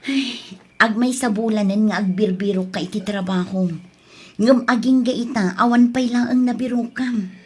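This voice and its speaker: A woman's voice: on the high side, moderate pace, darkish tone. An actress with an Ilocano accent playing an anxious, pleading wife and mother in a radio drama. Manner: fretful, pleading. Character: anxious wife and mother fretting over money. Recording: clear background ambient noise.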